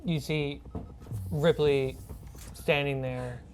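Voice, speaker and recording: A woman's voice: low-pitched, steady tone, extremely slow, a little mellow, soft background music. A woman running a tabletop role-playing game as game master, narrating and calling for rolls in an informal, conversational way.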